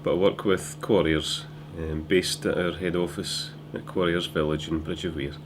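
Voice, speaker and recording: A man with a Scottish accent giving earnest, measured interview answers. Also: deep voice, steady tone, noisy background. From Falkirk, Scotland.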